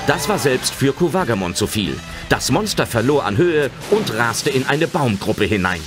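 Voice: mid-range voice, very animated, normal speed, music in the background, natural voice. A narrator telling a children's adventure audio drama in dramatic scripted narration.

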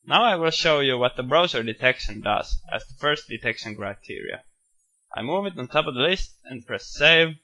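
Bright-voiced man narrating a software tutorial. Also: medium pitch, expressive, normal speed. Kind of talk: screencast walkthrough narration.

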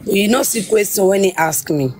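A woman's voice: medium pitch, animated, moderate pace, darkish tone. A young woman from Nigeria with a Nigerian accent, sassily chatting in pidgin on a phone live stream. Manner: sassy, playfully indignant. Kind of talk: pidgin casual chat. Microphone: phone camera.

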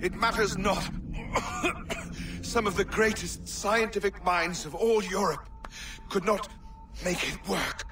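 An actor playing a dying, wounded captain who shouts in desperation between coughs. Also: male voice, sits high, slow pace. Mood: desperate. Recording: distant voice over loud music.

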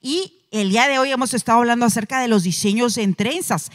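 A woman is hosting a studio talk show, putting quick conversational questions to her guest on a handheld mic. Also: fairly high pitch, in a large hall. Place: TV studio set.

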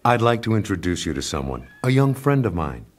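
A man's voice: deep voice, sing-song, a little fast. A man playing a stern, brooding masked vigilante in a motion comic, speaking in a terse, grave, controlled manner. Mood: polite, reserved. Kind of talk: motion comic dialogue and inner narration. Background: background music.

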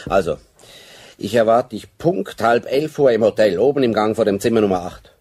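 Shrewd old-hand cop in a radio crime play, lowish husky voice, blunt. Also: male voice, lively intonation, darkish tone. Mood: commanding. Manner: authoritative, deliberate, plain-spoken. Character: seasoned, shrewd, blunt Swiss police sergeant.

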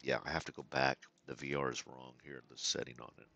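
A man's voice: extremely low pitch, flat delivery, quick pace, creaky, bright, forceful tone, highs cut off. A man giving casual commentary to viewers while driving a racing sim at home, sometimes mumbling and trailing off as he adjusts settings.

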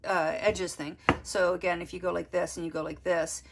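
A woman's voice: fairly low pitch, level tone, normal speed, gravelly, very noisy. A woman art teacher giving a step-by-step drawing lesson, explaining as she works and thinking aloud. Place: desk, overhead camera on drawing.

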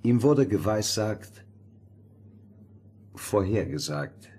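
An actor playing a refined, pompous gentleman, sly and measured, in a fairy-tale radio play. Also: on the low side, expressive, slightly rough, full character voice. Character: smooth-mannered, condescending noble gentleman, probing and sly.

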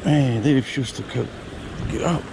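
Male fishing vlogger, mid-pitched voice, excitable live commentary, outdoor noise behind. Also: moderate pace, creaky. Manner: excited running self-talk, repeats words. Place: outdoors on a riverbank. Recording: outdoor recording with steady background noise.

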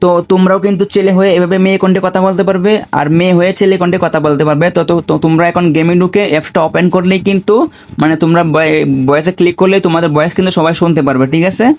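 A man's voice: high voice, level tone, racing, a little mellow. A man giving an informal step-by-step tutorial, often checking in with repeated tags. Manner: repetitive, with frequent check-in tags. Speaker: gaming tutorial creator.

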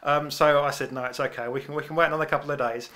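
Male co-host, fairly high, bright voice, casual explanatory sports analysis with loose approximations. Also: fairly even intonation, rapid-fire.

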